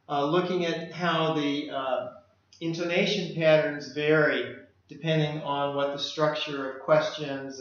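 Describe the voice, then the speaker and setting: Middle-aged man lecturing in a very echoey room. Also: a little high. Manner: measured, with frequent "uh" fillers. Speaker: language lecturer presenting his work. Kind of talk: application presentation walking through slides. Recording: echoey room, dull lo-fi sound.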